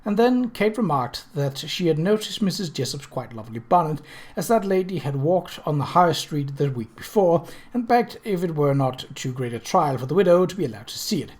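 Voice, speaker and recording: A man narrates a period mystery novel in a voice pitched a little high. His phrasing is measured and storybook-like, as an audiobook reader's is. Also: animated, a little mellow.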